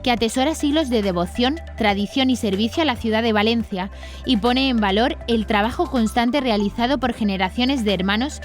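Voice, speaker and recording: A female news presenter from reads a prepared script. Her voice sits a little high, and music plays underneath. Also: fairly quick.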